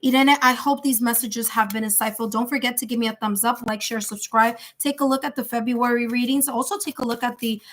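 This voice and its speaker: A woman's voice: a little high, steady tone, fairly quick, hardly any pauses, a bit bright. A woman with a New York accent giving live tarot readings in a chatty, reassuring way, thinking aloud with fillers. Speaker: tarot reader.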